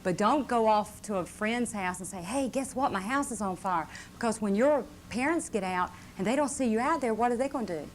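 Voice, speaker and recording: A woman's voice: a little high, lively intonation, brisk, few pauses. A woman giving an informal fire safety talk to children, often checking with tag questions. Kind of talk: live instructional talk to children. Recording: faint noise on old videotape.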